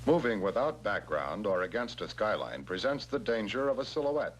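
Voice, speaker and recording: An American man giving measured, instructional narration in the scripted style of a 1960s military training film, stressing key words. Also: lowish voice, big pitch swings. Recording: noisy 1960s film soundtrack with hum.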